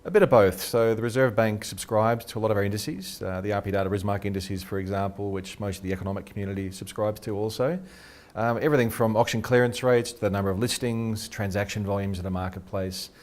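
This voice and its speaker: A middle-aged Australian man, a research head being interviewed, talks quickly and eagerly and restarts his sentences a lot. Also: on the low side, steady tone, darkish tone. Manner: quick, eager, repeats words when starting sentences. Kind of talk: casual interview talk. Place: radio studio.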